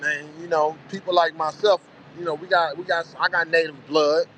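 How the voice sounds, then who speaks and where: A man's voice: a little high, level tone, normal speed, fairly bright tone, slight background noise, rough recording. A man in his forties talking casually in a loose, reflective monologue, often saying "you know what I'm saying". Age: 40s. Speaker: vlogger.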